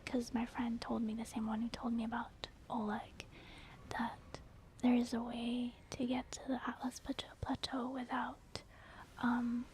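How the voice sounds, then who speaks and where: A woman whispering softly and breathily in a casual, rambling ASMR commentary over video gameplay. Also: a little high. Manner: casual, rambling, gentle explaining. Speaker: gaming ASMR video host.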